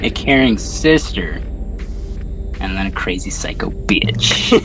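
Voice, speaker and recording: A man's voice: fairly low pitch, expressive, takes it slowly, fairly bright tone, faint music behind. A man hosting a podcast in a casual, slangy film discussion, swearing freely. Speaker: podcast host.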